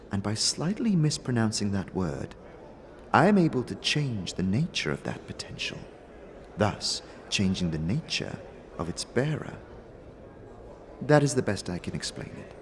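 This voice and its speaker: A man's voice: on the low side, big-room sound, a little hiss or hum. A man voicing a proud, cryptic female dealer in arcane magic for a fantasy audio drama, formal and aloof.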